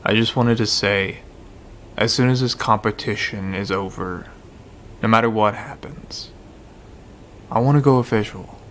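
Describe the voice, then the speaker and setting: A man's voice: lowish voice, unhurried, pauses often, fairly bright tone, a little hiss or hum. An actor in an amateur radio drama playing an earnest, sincere young man who speaks directly. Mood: tender.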